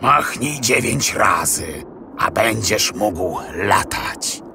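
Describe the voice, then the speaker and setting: A man voicing a kindly small magic raven in a fairy tale, creaky and deliberate as it gives guidance. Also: on the low side, expressive, background noise, exaggerated voice. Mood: solemn, helpful. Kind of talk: storybook character voice.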